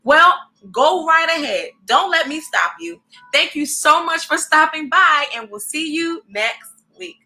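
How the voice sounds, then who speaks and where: Higher pitched lady doing a kids storytime, animated n encouraging, bit of music in the background. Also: a little slow. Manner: animated, encouraging, addresses children directly. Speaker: children's read-aloud host. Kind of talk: expressive storybook reading for children.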